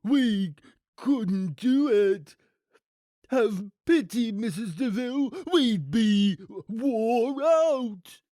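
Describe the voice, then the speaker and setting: A woman voicing a dim, reluctant, lazy male henchman in a storybook, with a dopey, drawn-out delivery. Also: mid-range voice, lively intonation, mellow, no room sound, pitched above the performer's own voice. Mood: shaken, pleading.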